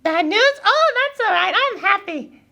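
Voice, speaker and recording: A woman voicing an excitable, melodramatic puppet boy in a children's puppet show, stammering and whiny with goofy exaggeration. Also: very high-pitched, measured pace, creaky, heavily acted. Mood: forced cheer.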